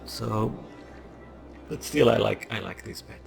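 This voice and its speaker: A man's voice: lowish voice, a little slow, creaky. A young man with a Portuguese accent, casually thinking aloud and rambling while he plays a city-building game into a desk microphone. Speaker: gameplay video creator. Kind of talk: casual gameplay commentary. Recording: loud background music.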